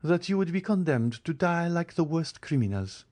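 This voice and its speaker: A man's voice: sing-song, normal speed, darkish tone. A man voicing two frightened young women who cry for help and then answer coldly. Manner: shrill cries, then cold.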